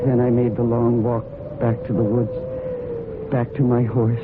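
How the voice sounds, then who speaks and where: An actress playing a desperate, guilt-ridden woman in a radio mystery, with a halting, intense and deliberate delivery. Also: very deep voice, flat delivery. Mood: grim, tense. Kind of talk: dramatic radio mystery acting. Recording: noisy, narrow-band old radio recording.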